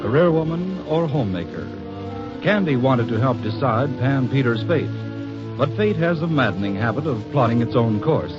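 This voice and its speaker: A man's voice: mid-range voice, animated, normal speed. A man announcing a 1940s radio drama with scripted narration. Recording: dull vintage radio broadcast. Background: loud string music.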